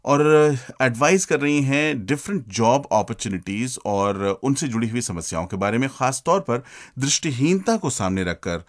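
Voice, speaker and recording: A man's voice: medium pitch, animated, normal speed. A man hosting a radio show, reading a scripted introduction.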